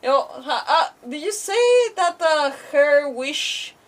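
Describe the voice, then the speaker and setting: A woman's voice: sits high, very animated, takes it slowly, distant mic. A woman game streamer giving casual live commentary, thinking aloud with exclamations and mild swearing.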